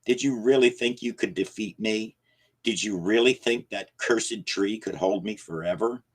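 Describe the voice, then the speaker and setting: A man's voice: on the low side, moderate pace, breathy edge. A man voicing an ancient gloating shadow spirit. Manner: gloating, menacing. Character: ancient malicious shadow spirit, male, mocking.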